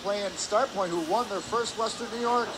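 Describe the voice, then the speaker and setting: A man's voice: sits high, normal speed. A man giving live hockey analysis as a color commentator on a game broadcast. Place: indoor ice hockey arena. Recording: noisy arena broadcast with ambient hum. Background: clear ambient arena noise.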